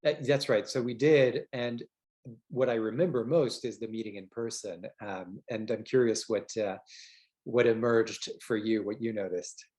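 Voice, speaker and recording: A man's voice: lowish voice, moderate pace, a little mellow. A man co-hosting a podcast in a conversational interview style, often searching for words and circling back. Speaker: podcast co-host.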